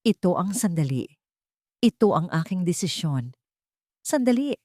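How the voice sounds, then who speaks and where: A woman narrator acts out an elderly grandmother in an audio drama. She is firm and commanding, wronged yet merciful. Each phrase comes deliberately, in a close, dry sound. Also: lowish voice, very animated, warm tone, no room sound. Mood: firm, resolute.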